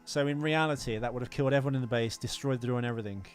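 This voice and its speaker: A man's voice: mid-range voice, racing, faint music behind. A man co-hosting a podcast, casually discussing films. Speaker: podcast co-host.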